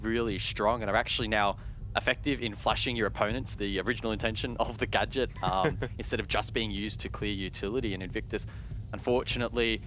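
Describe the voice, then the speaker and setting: A man giving measured, analytical esports commentary, explaining play as a broadcast caster. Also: on the low side, fairly even intonation, a bit bright, a little hiss or hum. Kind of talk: analytical live game commentary.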